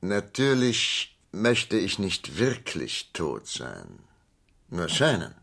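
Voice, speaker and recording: A wealthy, sly shipping businessman in an old crime radio play. The voice is very low and bright-edged, swinging widely in pitch, with halting, deliberate theatrical phrasing. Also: wildly swooping pitch, gravelly, breathy edge, full character voice. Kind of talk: vintage German crime radio play acting.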